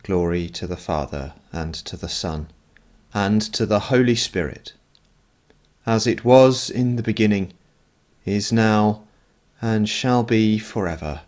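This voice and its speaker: A man leading night prayer as a priest, reading scripture and prayers in a measured, solemn way, phrase by phrase. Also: lowish voice, a little mellow.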